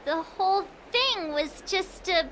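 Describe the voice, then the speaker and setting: A woman voice acting a curious, expressive young girl in a video game, exclamatory and inquisitive. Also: very high voice, slow pace, slight background noise, exaggerated voice. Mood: wry, relieved. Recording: loud music behind.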